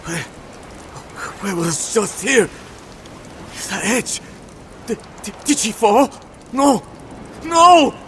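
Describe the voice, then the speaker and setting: High-pitched, crazed survivor. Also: male voice, big pitch swings, drawn-out words, pauses often, fairly bright tone, a little hiss or hum, exaggerated voice. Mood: distraught, crazed. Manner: stammering, distraught. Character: crazed, bewildered survivor with a lost mind. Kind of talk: video game cutscene acting.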